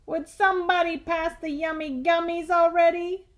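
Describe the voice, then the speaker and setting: A woman's voice: very high voice, flat delivery, moderate pace, voice pushed up. A woman voicing a brash, nagging, impatient talking tooth that demands candy in a storybook reading. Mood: impatient, pushy.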